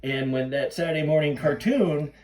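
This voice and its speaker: A man hosting a podcast in an excitable casual fan chat, repeating and rephrasing himself as he goes. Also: fairly high pitch, normal speed, distant mic. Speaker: podcast host.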